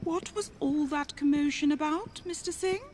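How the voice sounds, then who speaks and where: A woman's voice: high voice, normal speed, a little mellow, natural voice. A woman playing a grand, amused Victorian aristocratic lady with an upper-class British accent in a video game cutscene. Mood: haughty amusement.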